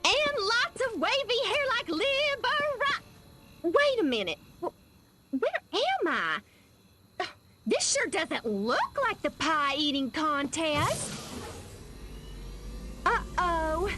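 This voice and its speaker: A very high-voiced, ditzy beauty queen, with music behind her. She is a naive small-town video game character, airy and slow to catch on. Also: heavily acted. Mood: confused, frightened. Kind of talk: video game cutscene acting.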